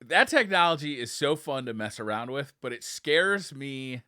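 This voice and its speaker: A man's voice: medium pitch, sing-song, moderate pace, sharp tone, smooth, no echo, studio quality. A man co-hosting a podcast in a casual, conversational style. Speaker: podcast co-host.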